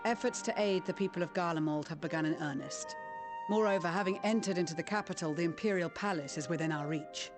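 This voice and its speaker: An authoritative commander from a game , acted out. The delivery is formal and commanding, built for briefings and orders. Music plays clearly underneath. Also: medium pitch, quick pace, natural voice. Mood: commanding, composed.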